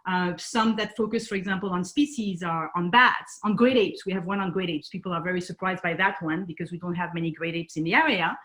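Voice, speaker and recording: A woman's voice: lowish voice, brisk, hardly any pauses, fairly bright tone. A woman from France with a French accent, presenting at an online talk as a nonprofit founder, speaking with many hesitations and restarts over a video call.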